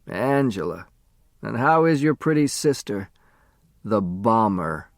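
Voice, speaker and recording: A man's voice: lowish voice, very animated, slow, no room sound, clean studio sound, exaggerated voice. A man voicing a frail, dying man in his eighties, weak-voiced, wistful and teasing, in an audiobook story. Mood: wistful, curious. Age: 80s. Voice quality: weak, faint.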